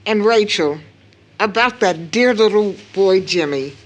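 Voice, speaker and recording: Slow, solemn grieving mother played by an actress. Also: mid-range voice, expressive, close to an everyday voice. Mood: troubled. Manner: solemn, deliberate storytelling with weighted pauses. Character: grieving, proud widowed mother recounting a tragedy. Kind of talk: audio drama acting.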